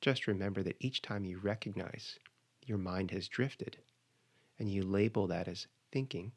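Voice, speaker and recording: A man leading a guided meditation, giving measured, instructional cues with pauses between phrases. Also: sits low.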